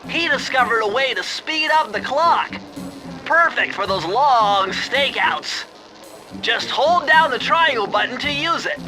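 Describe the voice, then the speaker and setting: A man's voice: very high voice, animated, a little slow, poor audio. A man voicing a brainy, fussy cartoon animal gadget expert, nasal and precise. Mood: pedantic. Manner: precise, pedantic, nerdy. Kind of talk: video game cartoon voice acting. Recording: music playing behind.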